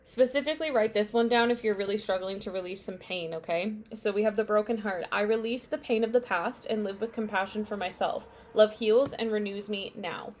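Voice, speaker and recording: Young woman doing a chill tarot reading, rambly, lots of um and like, mid pitch. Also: a little mellow, a little hiss or hum. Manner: chatty, meandering, many fillers and asides. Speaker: tarot reader. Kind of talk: casual spiritual card reading.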